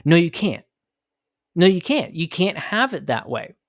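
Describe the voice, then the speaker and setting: A man's voice: on the high side, sing-song, unhurried, dry recording, clean studio sound. Emphatic man hosting a commentary podcast. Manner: emphatic, rhetorical questions, repeats phrases. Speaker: podcast host. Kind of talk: opinionated commentary.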